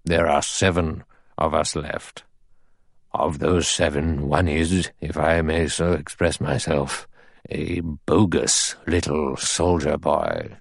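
A man's voice: extremely low pitch, gravelly, no echo, clean studio sound, full character voice. Mister Justice Wargrave, a man doing a commanding old judge. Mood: grave, commanding. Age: older. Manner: measured, precise, quietly authoritative. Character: elderly male judge, cool and commanding.

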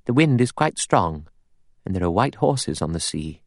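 A man's voice: deep voice, sing-song, normal speed, no echo, clean studio sound, full character voice. A man voicing a prim, severe older woman in a storybook reading, precise and composed.

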